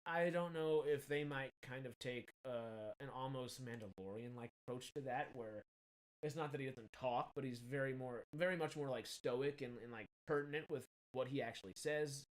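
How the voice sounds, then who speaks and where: A man in his thirties with a slight Texan accent, hosting casual film news commentary in an animated, rambling, emphatic way. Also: mid-range voice, normal speed, poor audio. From Texas. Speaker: film news show host.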